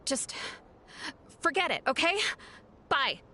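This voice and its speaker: An actress playing a guarded, conflicted young female scout in a video game, brusque and at times halting. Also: high-pitched, sing-song, pushed and bright, slight background noise, full character voice. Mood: troubled, hesitant.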